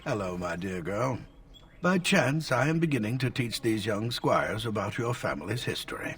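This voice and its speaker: An actor playing a stern, wry old tutor and scholar in a fantasy video game. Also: male voice, fairly low pitch, lively intonation, normal speed, fairly bright tone. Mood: genial.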